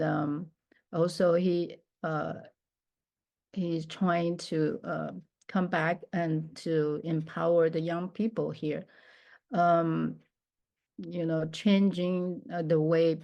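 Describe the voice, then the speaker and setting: A middle-aged woman from Taiwan speaks English, her voice low and mellow. She talks slowly and haltingly, full of pauses, fillers and restarts, as she shares a personal testimony. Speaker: minister.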